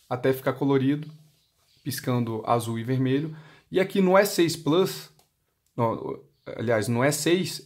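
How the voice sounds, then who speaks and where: A man with a Brazilian accent demonstrating a product step by step, explaining by asking and answering his own questions. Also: a little high. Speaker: product demonstrator for motorcycle intercom seller.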